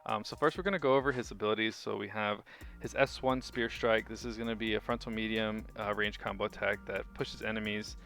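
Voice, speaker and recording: A man's voice: lowish voice, steady tone, brisk, gravelly, a bit bright, soft background music. A man giving a casual game guide commentary as a video host, chatty and informal, thinking aloud as he goes.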